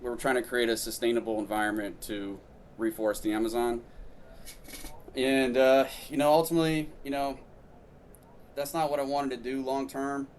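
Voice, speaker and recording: A man's voice: medium pitch, steady tone, normal speed, slight background noise. A man in his thirties from Brazil, a podcast guest telling his story in casual, rambling chat full of fillers and restarts.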